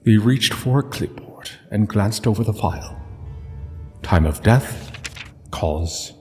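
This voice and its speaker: Slow, solemn male storyteller. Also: fairly low pitch, lively intonation, dark tone, in a large hall, soft background music. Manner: solemn, measured, dramatic pauses. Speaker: narrator. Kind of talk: scripted literary story narration.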